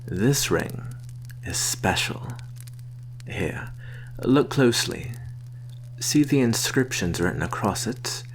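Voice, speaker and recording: A man playing a sly vampire posing as a traveling merchant, soft-spoken and coaxing in an ASMR roleplay. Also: lowish voice, animated, a little hiss or hum. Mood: secretive, proud. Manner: soft-spoken, coaxing, deliberate with sighs and soft chuckles.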